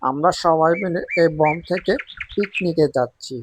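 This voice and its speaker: An eager male tailorbird from a cartoon, voiced by a man, with a fairly high voice. He is friendly, earnest and coaxing, over faint noise. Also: normal speed, close to an everyday voice. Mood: polite, eager.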